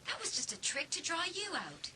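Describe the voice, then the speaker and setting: A woman's voice: extremely high pitch, huge pitch swings, moderate pace, bright, forceful tone, slight background noise, exaggerated voice. A woman playing a determined teenage girl in a dramatised audiobook, speaking in a pointed, dramatic way. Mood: insistent. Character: determined, accusing teenage girl confronting a treacherous friend.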